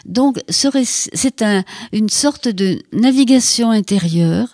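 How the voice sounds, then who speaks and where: A woman's voice: a little high, animated, darkish tone, dry recording, studio quality. An older French woman author giving a radio interview, speaking reflectively with hesitations and frequent verbal tics. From France. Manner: reflective, halting, frequent "voilà" and "hein".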